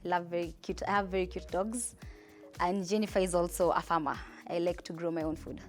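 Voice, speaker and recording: A young Kenyan woman with a Kenyan accent, telling her life story in a chatty, animated interview. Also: on the low side, brisk, soft background music. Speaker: entrepreneur.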